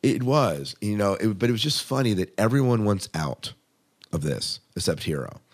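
A man's voice: fairly low pitch, lively intonation, moderate pace. A man hosting a podcast in casual, conversational chat about shows, often putting questions to his co-host.

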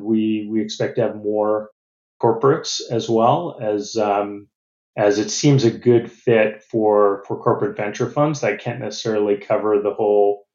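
A middle-aged American man giving measured, businesslike interview answers and often restarting his phrases. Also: fairly low pitch, a little mellow, echoey room, dull audio. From United States. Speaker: venture capital partner.

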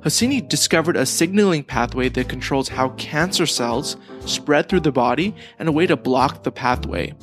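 A man hosting a podcast, reading a scripted introduction and then asking questions in a relaxed, hesitant way. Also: fairly high pitch. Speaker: podcast host. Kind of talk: conversational podcast interview.

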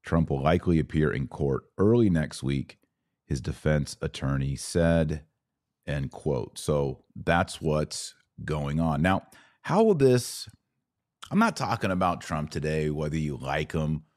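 A man hosting a podcast, giving political and religious commentary in a deliberate way with emphatic pauses. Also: very deep voice, a little mellow. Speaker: podcast host.

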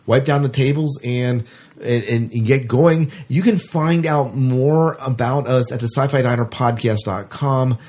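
A man co-hosting a podcast in casual chat, with a husky voice. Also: mid-range voice, fairly even intonation, unhurried, a little mellow. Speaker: podcast co-host.

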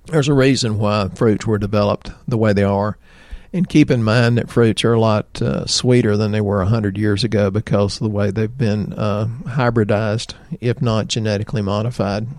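Folksy Southern doctor, slightly hoarse. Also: male voice, on the low side, fairly even intonation, normal speed, a little mellow, dry recording. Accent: Southern American. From American South. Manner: folksy, rambling, explanatory. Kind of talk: health talk show chat.

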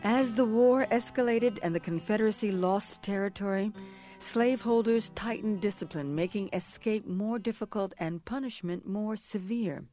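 A woman narrator reading scripted history in a measured, formal manner. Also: medium pitch, warm tone, soft background music.

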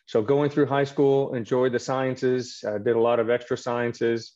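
A man in his fifties from Canada, a surgeon guest in an interview, telling his story in a relaxed, rambling way with many fillers and restarts. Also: mid-range voice, measured pace, muffled recording.

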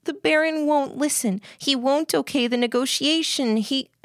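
A woman's voice: high-pitched, moderate pace, dry recording, studio quality, voice pushed up, close to an everyday voice. A woman voicing a distraught, emotional girl who pleads insistently in an audiobook. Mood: fragile pleading.

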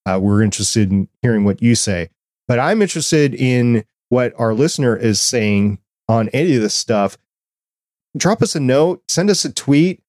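A man's voice: mid-range voice, expressive, moderate pace, a little mellow, no room sound, clean studio sound. A middle-aged man speaking as a podcast co-host in a casual fan discussion.

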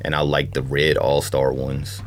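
A man talking casually on a podcast, slangy and a bit halting, repeating words as he goes. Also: rumbling low, monotone. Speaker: podcast panelist. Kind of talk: casual sneaker chat.

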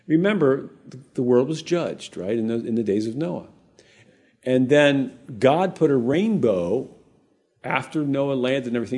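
A man with a warm, mellow, slightly husky voice leads a conversational Bible teaching. He talks casually and with animation, often restarting his sentences and turning to the congregation with asides. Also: medium pitch. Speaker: pastor.

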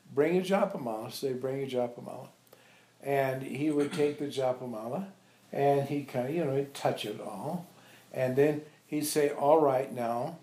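A man informally reminiscing to visitors as a spiritual teacher, telling animated anecdotes and acting out remembered dialogue. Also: mid-range voice. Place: echoey indoor room at an ashram. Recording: roomy, echoey sound.

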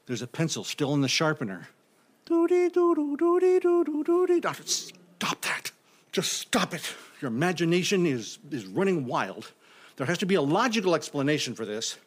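An actor playing a nervous, imaginative office temp in a comedic audio drama, stammering and talking to himself. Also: male voice, a little high, huge pitch swings, moderate pace. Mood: uneasy, self-soothing. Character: jittery, imaginative male office temp, easily unnerved.

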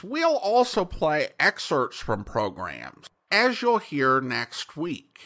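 A man's voice: a little high, pushed and bright. An American man talking as a podcast host, in conversational commentary.

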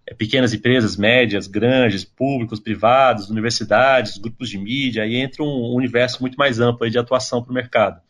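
A man's voice: medium pitch, fairly even intonation, fairly quick, hardly any pauses, fairly bright tone. A man from Brazil, a guest in a casual podcast interview, explaining at length with frequent fillers and tag questions. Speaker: interview guest, tech company employee.

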